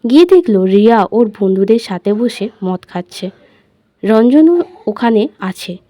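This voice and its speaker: A woman's voice: mid-range voice, lively intonation, measured pace, dark tone, dry recording. A woman reading a scripted love story as its narrator.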